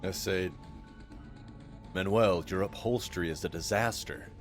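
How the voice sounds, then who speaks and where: Male game player with a deep voice, offhand conversational style, music underneath. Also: a little slow. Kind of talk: casual table chat.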